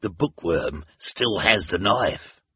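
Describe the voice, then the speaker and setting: Raspy, barking henchman, done by a man. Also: low voice, animated, fairly bright tone, dry recording, full character voice. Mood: commanding. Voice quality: rough, raspy. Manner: barking, drawn-out orders. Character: gruff, commanding villainous henchman.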